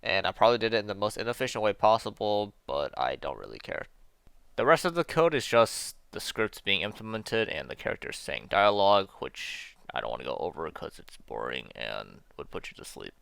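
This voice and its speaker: Low, smooth young man narrating. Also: sing-song, a little fast, fairly bright tone, no room sound. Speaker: amateur game developer narrating a video. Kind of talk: scripted video narration.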